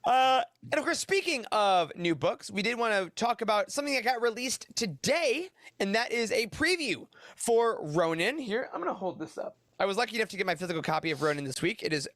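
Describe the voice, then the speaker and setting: Male podcast host, high voice, chatty upbeat delivery, dry close sound, casual news chat. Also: very animated, fairly quick, hardly any pauses, a bit bright, dry recording.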